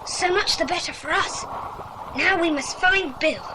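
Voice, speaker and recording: A performer with a British accent playing an eager, plucky child in a children's audio adventure, earnest and excitable. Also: very high voice, measured pace. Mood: hushed, intent. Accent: British English. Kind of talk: dramatised children's audiobook acting. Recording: noisy audio drama effects.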